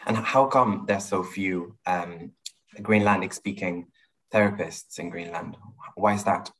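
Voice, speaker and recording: A man's voice: sits low, steady tone, measured pace, a bit bright, poor audio. A man in his early twenties from Spain with a Spanish accent, hosting a podcast with earnest, slightly formal delivery. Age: early 20s. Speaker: podcast host. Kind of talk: scripted podcast intro and interview questions.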